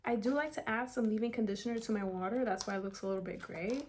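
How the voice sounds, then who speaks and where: A woman's voice: on the high side, fast, a little mellow, a little hiss or hum. Chatty young woman vlogger. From South Florida. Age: 20s. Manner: chatty, upbeat, lots of "like" and "kinda". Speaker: beauty vlogger. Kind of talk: casual hair tutorial vlog.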